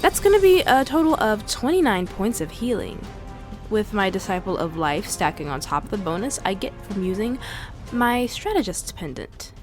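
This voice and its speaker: A woman with a lively, mid-pitched voice narrates a character's moves in a tabletop game. Soft music sits behind her. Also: moderate pace. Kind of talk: narrating own character's actions.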